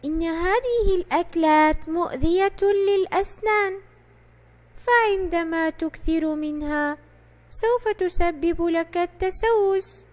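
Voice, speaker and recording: A woman's voice: very high voice, measured pace, darkish tone. A woman voicing a sensible little boy in an educational children's cartoon, earnest and instructive. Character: sensible, bespectacled little boy advising his friend.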